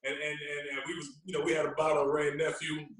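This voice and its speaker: A man's voice: fairly high pitch, completely flat delivery, quick pace, crowd noise. A man speaking as a DJ guest on a podcast, chatting casually in slang, with a very echoey sound. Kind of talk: casual chat. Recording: very echoey, roomy remote sound.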